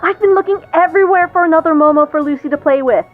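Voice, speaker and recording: A woman's voice: very high voice, fast, narrow, tinny audio, soft background music. An actress playing a sweet, awkward young woman who gushes and chats as a vlogger in an audio drama. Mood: excited, grateful. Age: young adult. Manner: gushing, chatty, girlish. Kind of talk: audio drama, vlog-style monologue and chat.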